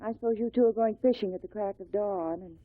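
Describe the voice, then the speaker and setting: An actress playing a judge's gentle, affectionate wife in a 1950s radio drama. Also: female voice, mid-range voice, quick pace, no room sound, natural voice. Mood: pleasant. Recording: dull narrow-band 1950 radio recording.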